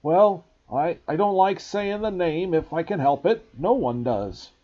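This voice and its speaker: A man voicing a huge, rough-spoken, kindly giant with a loud, gruff, folksy way of talking. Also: high-pitched, expressive, a little slow, pitched above the performer's own voice. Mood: worried. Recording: dull lo-fi recording.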